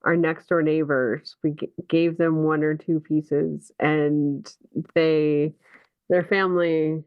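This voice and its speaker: A woman's voice: low-pitched, level tone, slow, clear, resonant tone, dry recording. A woman hosting a podcast, interviewing in a casual, conversational way. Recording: dull, dry lo-fi audio.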